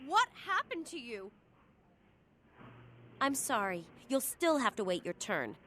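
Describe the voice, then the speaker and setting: An actress playing a startled but firm clinic receptionist in a video game. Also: female voice, on the high side, very animated, slow, sharp tone, slight background noise, full character voice. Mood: shocked, apologetic. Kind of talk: video game dialogue acting.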